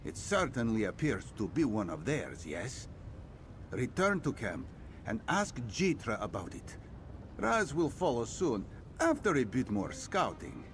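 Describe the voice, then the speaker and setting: An actor playing a sly, thoughtful cat-folk scout in a video game, theatrical and musing in manner. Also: male voice, medium pitch, big pitch swings, a little slow, slight background noise. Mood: wry, cautious. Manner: theatrical, musing, refers to himself in third person. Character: sly, thoughtful cat-folk male scout, speaks of himself in third person. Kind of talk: video game character dialogue.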